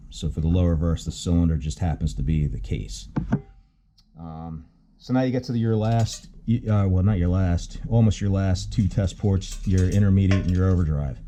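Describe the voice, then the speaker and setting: A middle-aged man giving a hands-on technical explanation as a mechanic, matter-of-fact and halting as he thinks aloud. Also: sits low, dark tone, a little hiss or hum. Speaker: auto mechanic instructor.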